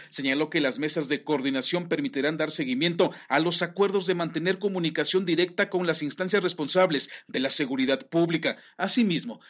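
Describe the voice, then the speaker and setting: A man's voice: a little high, fairly bright tone. A male news presenter reading regional news in a conversational way, speaking directly to his listeners. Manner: conversational, addresses listener directly, small restarts.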